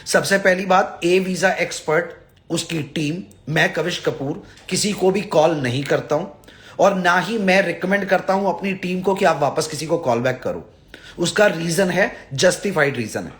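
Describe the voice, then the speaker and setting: Guy on a livestream, pretty high voice, blunt and fed up, telling followers off and begging them over and over. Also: moderate pace, a bit bright. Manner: blunt, scolding, exasperated; emphatic, repetitive pleading. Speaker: visa advisor and live-stream host. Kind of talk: live social media address to followers.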